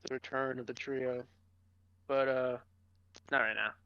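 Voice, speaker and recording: Bright-voiced man, dry slightly dull recording. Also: medium pitch, level tone, measured pace, clean tone, no echo. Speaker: podcast co-host. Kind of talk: casual podcast banter.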